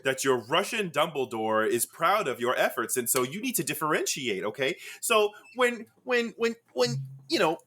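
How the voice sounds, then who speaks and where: Bright, lively rambling man. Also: a little high, moderate pace, few pauses. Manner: rambling, stumbles over words, laughs easily. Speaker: podcast co-host. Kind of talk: casual film-discussion chat.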